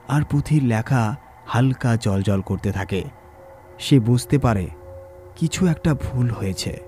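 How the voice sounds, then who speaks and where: Mellow male horror storyteller over music. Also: mid-range voice. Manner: measured, suspenseful storytelling. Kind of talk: scripted dramatic narration.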